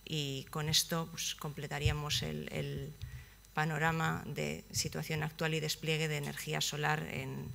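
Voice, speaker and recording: A young woman giving a conference presentation, pausing often with fillers and restarting phrases, seated at a table in a conference hall. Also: sits low, little pitch movement, creaky, fairly bright tone, a little hiss or hum. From Basque Country, Spain. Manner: hesitant, many fillers and restarts. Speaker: project manager presenting at a conference.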